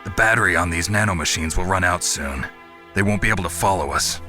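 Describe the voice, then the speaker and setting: An actor playing a stoic, world-weary covert soldier in a video game, with terse, dry and solemn delivery. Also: male voice, sits low, quick pace, bright, forceful tone. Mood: serious. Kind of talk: dramatic video game acting. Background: music.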